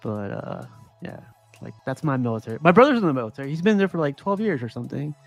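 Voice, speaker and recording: A man's voice: medium pitch, sing-song, fast talker, creaky, muffled recording, faint music behind. A man hosting a casual livestream chat, laid-back and rambling, laughing often. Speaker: livestream host.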